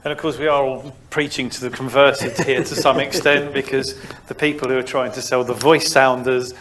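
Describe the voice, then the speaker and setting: A man's voice: mid-range voice, flat delivery, normal speed, a crowd in the background. A man moderating an informal industry discussion, talking conversationally and feeling his way through his phrasing. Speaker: panel moderator.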